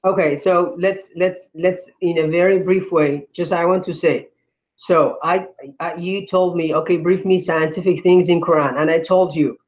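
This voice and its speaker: A man argues defensively in a heated debate, stumbling over his words and starting his sentences again. His voice sits high for a man and reaches the listener through a dull, muffled lo-fi connection. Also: fairly even intonation, normal speed. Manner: defensive, stammering restarts. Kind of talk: heated online religious debate.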